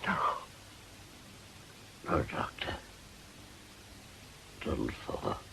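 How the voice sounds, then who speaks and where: A man playing a weak, delirious, fearful injured man in a radio drama, whispering in halting, broken fragments. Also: pushed and bright. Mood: delirious dread. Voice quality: breathy, croaking whisper. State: weak, barely conscious.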